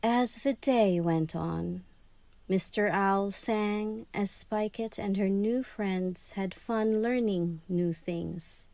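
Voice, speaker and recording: A woman narrates a story for young children at a very unhurried pace. Her tone is mellow and the recording is dry and close, in a scripted read-aloud style. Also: mid-range voice, gravelly, no echo.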